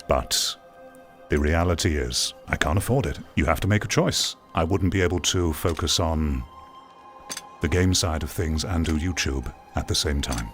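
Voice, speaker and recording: Male VR streamer, low-pitched voice, earnest live commentary, emphatic repetition, music in the background. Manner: earnest, emphatic, repeats phrases for stress. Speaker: VR games streamer and video host. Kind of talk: live game commentary talking to viewers.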